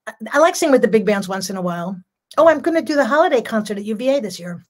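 A woman's voice: fairly high pitch, animated, rapid-fire. An older woman, a jazz singer, chatting as a guest on a video call from her home, talking freely and laughing often. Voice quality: strong voice. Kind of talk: casual video-call interview. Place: home room.

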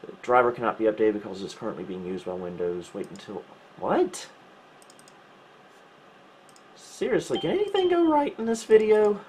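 A man's voice: mid-range voice, sing-song, moderate pace, pauses often, a little mellow, slight background noise. A man talking casually and wryly as he thinks aloud through tech troubleshooting, hosting a home video indoors. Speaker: host of a retro computing video. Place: indoors, small home room.

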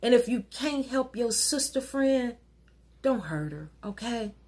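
A middle-aged woman minister reciting an inspirational rhyming poem in an earnest, rhythmic, exhorting way, with a slightly husky voice. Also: a little high, animated, a little slow, darkish tone. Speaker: minister and poet. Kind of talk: recited rhyming spoken-word sermon poem. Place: wood-paneled living room at home.

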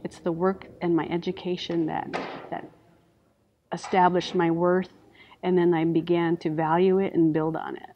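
Middle-aged woman from the Navajo Nation, lowish voice, thoughtful and measured, slightly dull audio. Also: little pitch movement, slight background noise. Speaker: interviewee, business owner. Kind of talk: personal testimony. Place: indoor office.

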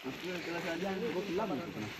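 A man's voice: fairly high pitch, sing-song, fast, poor audio. Chatty man hiking a forest trail. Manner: chatty, joking with friends on the trail. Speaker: hiker filming the hike. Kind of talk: casual chat while hiking. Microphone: handheld phone camera.

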